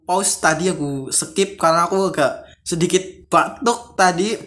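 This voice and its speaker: A man's voice: sits high, moderate pace, a bit bright. A man hosting a casual vlog, reading stories aloud and adding informal commentary in his own words. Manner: informal, reads aloud then paraphrases and reacts. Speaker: video creator, host.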